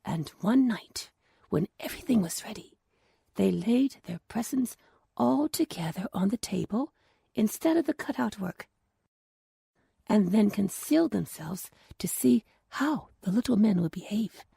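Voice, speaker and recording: Very animated, unhurried woman storyteller. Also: medium pitch, gravelly, breathy edge, no echo, clean studio sound. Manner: expressive, deliberate, with hushed phrases. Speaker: storyteller and podcast host. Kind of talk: scripted children's story narration.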